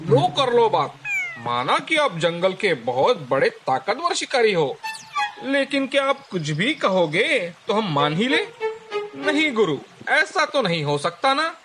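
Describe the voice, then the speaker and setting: Man playing a high-pitched, theatrical cocky donkey. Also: big pitch swings, unhurried, music in the background. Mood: scoffing. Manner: whining, boastful, theatrical. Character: cocky argumentative male donkey, gloating and petty. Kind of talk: animated moral story dialogue.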